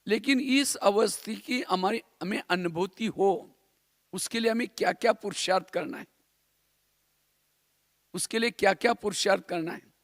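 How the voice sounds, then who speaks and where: A man's voice: high-pitched, measured pace, long pauses, dry recording, studio quality. A man from India giving a spiritual class in a didactic way, repeating phrases and posing rhetorical questions. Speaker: spiritual teacher.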